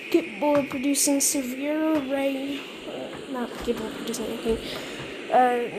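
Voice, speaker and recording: A woman narrating a mock emergency weather bulletin in a halting way, often repeating and correcting her phrases. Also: high-pitched. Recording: clearly noisy amateur recording.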